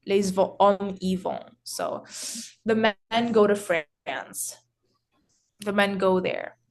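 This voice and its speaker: A woman teaching French grammar in English, explaining slowly with many pauses, restarts and filler words. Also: medium pitch, expressive. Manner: hesitant, frequent restarts and "so". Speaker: French tutor.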